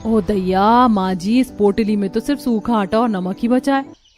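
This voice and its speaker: A woman voicing a chatty young village daughter-in-law in a cartoon, expressive and colloquial. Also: medium pitch, moderate pace, faint music behind, natural voice. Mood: complaining. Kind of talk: Hindi cartoon story dub.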